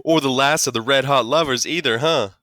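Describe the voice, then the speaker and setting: Man playing a wry, teasing, animated dad. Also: mid-range voice, a little fast, dry recording, studio quality, pitched above the performer's own voice, lightly acted. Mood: mocking. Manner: wry, mocking, suppressing laughter. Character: amused, teasing father aged thirty-five.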